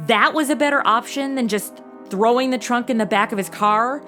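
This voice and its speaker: A woman's voice: fairly high pitch, normal speed, bright, forceful tone, soft background music. An American woman playing a sarcastic, slangy twenty-something detective sidekick in a comedy audio drama, flippant and full of complaints. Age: 20s.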